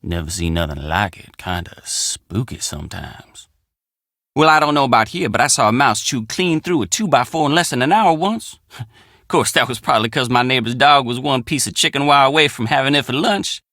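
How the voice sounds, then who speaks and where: A man's voice: medium pitch, expressive, fairly quick, sharp tone, no room sound, clean studio sound. An actor playing a friendly, plainspoken young country handyman with an American drawl in a video game. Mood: easygoing, matter-of-fact. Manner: folksy, plainspoken country phrasing. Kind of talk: video game character dialogue.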